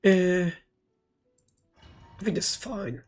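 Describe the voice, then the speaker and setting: Husky, slow-talking game streamer. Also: medium pitch, lively intonation, a little mellow, soft background music. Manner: offhand, sparse, self-talk. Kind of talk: casual playthrough reactions, muttering.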